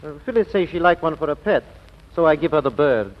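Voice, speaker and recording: An actor playing a hapless, naive, hesitant suitor in 1940s radio style. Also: male voice, on the high side, animated, fairly quick, lightly acted. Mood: defensive, sheepish. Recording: muffled, reverberant old radio recording.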